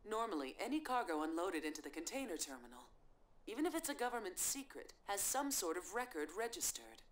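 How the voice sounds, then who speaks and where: An actress playing a blunt, shrewd investigator in an English-dubbed video game, matter-of-fact and wry. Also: female voice, medium pitch, big pitch swings, a little fast, lightly acted. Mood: cool, analytical.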